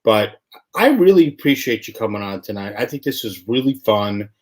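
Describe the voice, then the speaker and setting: A man's voice: on the low side, big pitch swings, a little mellow. A man hosting a casual live show, talking loosely and rambling through explanations with plenty of fillers. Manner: loose, rambling, acts out dialogue in quick voices. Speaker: host.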